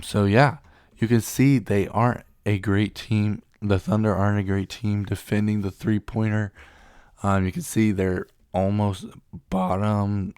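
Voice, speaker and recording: A man's voice: fairly low pitch, darkish tone, smooth, no room sound, studio quality. A young man getting over a cold, casually running through sports betting picks and reading stats off the screen with a few ums.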